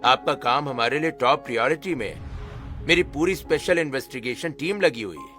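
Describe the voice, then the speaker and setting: Man playing a cartoon police chief, lowered voice, quiet, placating, eager to please. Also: lively intonation, a little fast, soft background music. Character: deferential male police commissioner, anxious to please. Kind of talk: Hindi cartoon story dialogue.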